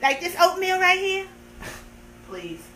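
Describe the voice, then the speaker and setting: A woman's voice: extremely high pitch, sing-song, slow, fairly bright tone, slight background noise. A woman in her thirties chatting on a live stream, playful and sarcastic, teasing her viewers with theatrical complaints. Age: 30s. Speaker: livestream host.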